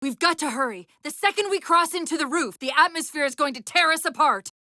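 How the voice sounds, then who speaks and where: A woman voicing a video game's female AI companion, an urgent, wry military guide with crisp, technical, commanding delivery. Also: high voice, brisk, sharp tone, dry recording, studio quality. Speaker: video game voice actor. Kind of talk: video game dialogue, tactical callouts.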